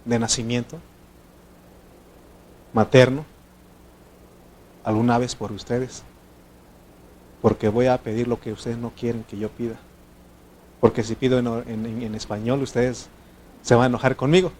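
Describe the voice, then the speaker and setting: A preacher from Mexico, whose first language is not Spanish, addresses his congregation in a lively sermon. He swings from raised, urgent exhortation down to soft, low asides, at an ordinary middle pitch for a man. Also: a little slow, pauses often, a little hiss or hum, low-quality recording. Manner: animated, swinging between loud exhortation and quiet asides.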